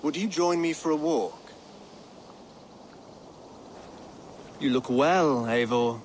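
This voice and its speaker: An actor playing a pious exiled king in humble disguise, speaking formally and with solemn, measured words in a video game cutscene. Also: fairly high pitch, a little hiss or hum, close to an everyday voice. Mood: guarded, courteous.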